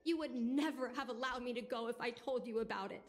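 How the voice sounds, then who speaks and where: A woman's voice: fairly high pitch, brisk, lightly acted. A woman voicing a headstrong, indignant young daughter in a video game drama. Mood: defiant, indignant. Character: headstrong, defiant young daughter, aspiring pilot. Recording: game audio with music behind. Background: music.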